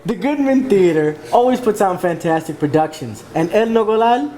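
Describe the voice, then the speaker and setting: A man's voice: high-pitched, lively intonation, normal speed, dark tone. A young man co-hosting a show with playful, casual banter. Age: young adult. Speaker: guest co-host. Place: dark lounge, indoors. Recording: echoey room, faint noise.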